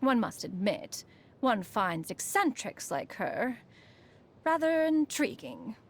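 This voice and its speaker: A woman's voice: fairly high pitch, very animated, slow, heavily acted. A woman voicing a proud, refined, aloof ancient immortal in a video game, speaking formally and loftily. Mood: curious, reserved. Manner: formal, haughty, calls herself "one". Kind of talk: scripted video game character voice lines. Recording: music behind the voice.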